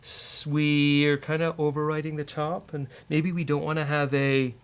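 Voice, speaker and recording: A man's voice: a little high, level tone, measured pace, clean tone. A man with a British accent hosting a casual live coding walkthrough, thinking aloud in a jokey way. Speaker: host of a coding show. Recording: keyboard clatter and clicking noise. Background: typing and mouse clicks.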